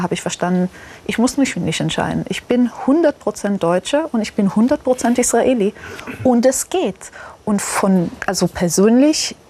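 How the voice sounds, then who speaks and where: A woman's voice: medium pitch, a little mellow. A woman journalist speaking as a panel guest, personal and earnest. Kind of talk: panel discussion.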